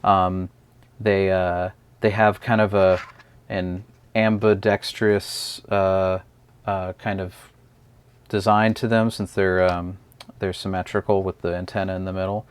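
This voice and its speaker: A man's voice: low-pitched, level tone, a little slow, smooth. A man giving a casual, unscripted hands-on product review, explaining step by step in a hesitant way.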